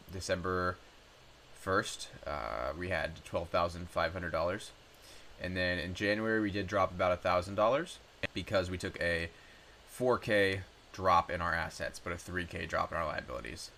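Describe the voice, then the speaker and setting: A young man in his twenties with a low voice, made raspy by a cold, that still sounds bright and forward. He talks through his numbers in a loose, chatty way, full of ums and small corrections. A faint hiss sits under the recording. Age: 20s. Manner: chatty, relaxed, with frequent ums and self-corrections. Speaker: personal finance YouTuber. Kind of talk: casual screen-share walkthrough.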